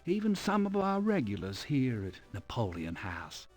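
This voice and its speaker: A man's voice: mid-range voice, huge pitch swings, moderate pace, faint music behind. An actor playing a chatty, easygoing male bartender in an adventure game, in an amused and talkative manner.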